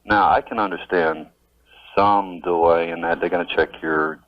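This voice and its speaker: A man's voice: low-pitched, steady tone, moderate pace, no room sound. A man calling in to a radio show, chatting casually with a wry, easygoing sense of humor. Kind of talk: casual phone-in conversation. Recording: dull lo-fi radio phone-in tape.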